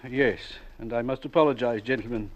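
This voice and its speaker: An actor playing a frail elderly doctor in a 1940s radio drama, speaking slowly and gravely. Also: male voice, mid-range voice, close to an everyday voice. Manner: slow, measured, grave delivery. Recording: dull old radio broadcast.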